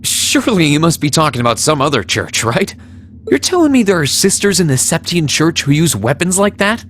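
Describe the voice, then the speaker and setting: Earnest young hero, lively intonation. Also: male voice, on the high side, a little fast, slight background noise. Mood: polite, surprised. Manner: polite, hesitant, earnest. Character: polite, earnest young military student, modest and cautious. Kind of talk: video game voice acting.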